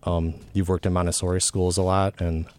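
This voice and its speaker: A man hosts a casual interview, asking questions in a relaxed, easygoing way with small restarts. His voice is very deep and warm. The sound is dry and close. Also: fairly quick, no room sound. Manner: relaxed, conversational, with ums and restarts. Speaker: interview host.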